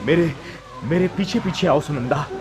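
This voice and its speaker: A man's voice: a little high, normal speed, lightly acted. A man playing a righteous monastic teacher, solemn and preaching in a radio drama. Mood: grave. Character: righteous male monastic teacher, stern and moralising. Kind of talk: Hindi radio drama acting.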